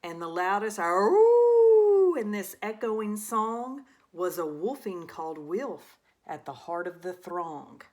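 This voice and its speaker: A woman reading a rhyming children's storybook aloud as an expressive narrator. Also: on the low side, measured pace, hardly any pauses. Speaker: storybook reader.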